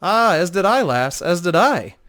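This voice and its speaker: A man's voice: sits high, big pitch swings, measured pace, a bit bright, voice pushed up, heavily acted. A man voicing a charming, well-travelled bard, gallant and flirtatious, in a dramatic fantasy audiobook reading.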